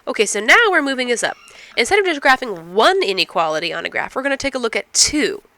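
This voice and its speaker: A woman's voice: on the high side, very animated, fast talker, sharp tone. A woman instructor explaining math step by step, asking and answering her own questions, while getting over a cold. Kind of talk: recorded math lecture.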